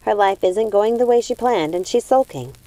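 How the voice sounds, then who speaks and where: A woman voicing a calm young witch sister in a storybook, a gentle peacemaker who reasons and reassures. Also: mid-range voice, moderate pace, dry recording, pitched above the performer's own voice, natural voice, poor audio. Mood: reassuring.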